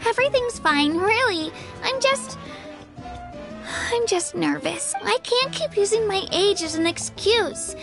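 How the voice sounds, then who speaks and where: A woman's voice: very high voice, lively intonation, a little slow, heavily acted. A woman voicing an earnest, self-doubting young girl warrior in a video game, with a childlike voice. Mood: anxious. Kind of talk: video game character acting. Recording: music behind the voice.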